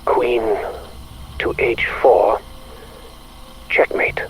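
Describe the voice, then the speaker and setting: An actor playing a patient but suspicious scientist in a video game, formal and measured. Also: male voice, mid-range voice, fairly even intonation, a bit bright, slight background noise, rough recording. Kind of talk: video game audio log acting.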